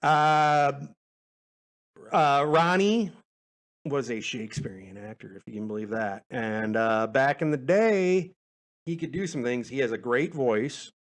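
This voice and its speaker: A man's voice: mid-range voice, lively intonation, unhurried. A man hosting a podcast in rambling casual chat, self-deprecating and prone to swearing. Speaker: podcast host.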